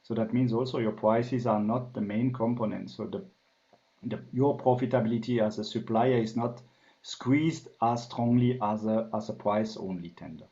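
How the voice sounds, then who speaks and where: A man's voice: fairly low pitch, normal speed, mellow, muffled recording. A man from France with a French accent tinged by years in Germany, a guest consultant explaining things thoughtfully with many "um"s in a business podcast interview. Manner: thoughtful, filler-heavy explanations.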